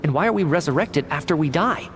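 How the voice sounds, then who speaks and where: An actor playing an earnest, analytical researcher in a video game, articulate and inquisitive, reasoning methodically. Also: male voice, a little high, lively intonation, fast talker. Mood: thoughtful. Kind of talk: video game dialogue acting. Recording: studio voice under clear game music. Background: background music.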